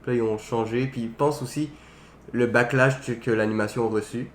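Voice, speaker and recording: Young adult male, Quebec accent, mid-pitched casual conversation with English slang, faint noise and room echo. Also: fairly even intonation, fairly quick. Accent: Quebec French. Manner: colloquial, drops in English words. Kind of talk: casual chat about films.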